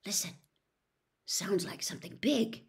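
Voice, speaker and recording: A woman voicing an anxious but determined young boy wizard in a storybook, speaking in a breathy, urgent way. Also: medium pitch, animated, a little slow, in a whisper. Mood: whispering. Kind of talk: acted storybook dialogue.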